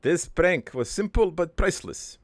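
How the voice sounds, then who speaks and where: Man playing a gravelly, rambling old cartoon dad. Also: high-pitched, no room sound. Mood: gleeful boasting. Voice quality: gravelly, creaky. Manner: rambling, halting storyteller with crude deadpan humour. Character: dead old ne'er-do-well father, crude, wistful, doting. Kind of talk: improvised first-person cartoon monologue.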